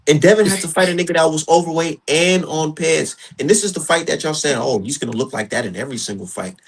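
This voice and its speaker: Man, 30s, casual podcast host, husky voice, heated and repetitive. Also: fairly high pitch, fast talker. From Minnesota. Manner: animated, repetitive, heated ranting. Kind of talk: casual boxing talk.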